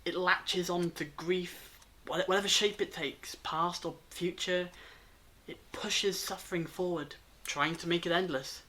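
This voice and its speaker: Someone acting a grave time traveller in an audio drama, measured and solemn, explaining things like they know it all. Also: mid-range voice, fairly even intonation, pushed and bright.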